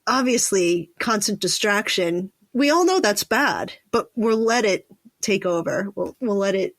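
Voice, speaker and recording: A woman hosts a conversational podcast in a mid-pitched voice. She talks informally, thinking out loud as she goes. The sound is dry, with no room around it. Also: lively intonation, measured pace, dry recording. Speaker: podcast host. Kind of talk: casual conversational interview.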